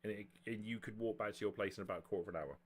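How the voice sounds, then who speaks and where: A man working as game master, narrating and ruling on a tabletop game in a halting way, often repeating himself. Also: on the low side.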